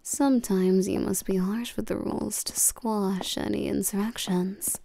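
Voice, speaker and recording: A woman playing a regal, seductive and domineering vampire queen in a scripted roleplay audio drama, coaxing and commanding the listener with soft menace. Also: mid-range voice, steady tone, unhurried, gravelly, a little hiss or hum. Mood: smooth, probing.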